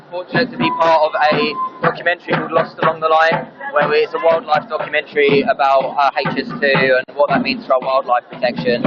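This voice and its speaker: A man with an Essex accent giving a casual interview at a protest, earnest and somewhat hesitant. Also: fairly high pitch. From Essex, England. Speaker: activist being interviewed. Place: live at a protest. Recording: muffled, loud music behind.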